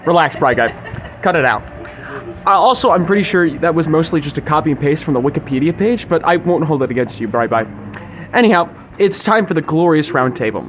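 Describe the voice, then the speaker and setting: A man's voice: on the high side, animated, fairly quick. A man hosting a podcast in a wordy, mock-formal comedic style. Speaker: podcast host. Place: local restaurant. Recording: faint background noise of public place.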